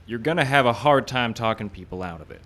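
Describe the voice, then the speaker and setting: A man's voice: medium pitch, lively intonation, quick pace, fairly bright tone, close to an everyday voice. Chatty frontier town doctor played by a man. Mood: earnest, insistent. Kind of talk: live old time radio western acting.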